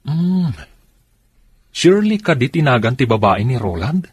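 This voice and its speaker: A man with a very husky, rough voice playing an easygoing, colloquial driver in a radio drama. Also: mid-range voice, big pitch swings, unhurried, dry recording, heavily acted. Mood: inquisitive. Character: easygoing male driver helping friends with a scheme.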